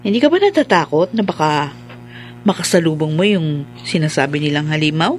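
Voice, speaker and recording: A woman's voice: deep voice, animated, a little fast, faint music behind. An actress playing a curious young woman in a radio drama, suspicious and questioning in her delivery. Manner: probing, questioning.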